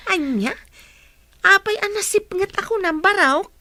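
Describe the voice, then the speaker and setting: Radio drama auntie played by a woman: jolly, teasing, Ilocano accent, high swooping voice, drawn-out delivery. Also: animated, pushed and bright, dry recording, exaggerated voice. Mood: amused, teasing. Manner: effusive, teasing. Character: jolly, doting older female household worker.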